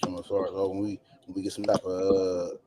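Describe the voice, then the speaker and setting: A man joining a livestream as a guest, speaking softly and hesitantly. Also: sits low, completely flat delivery, fast talker, noisy background. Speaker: guest reading from a book. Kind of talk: casual discussion.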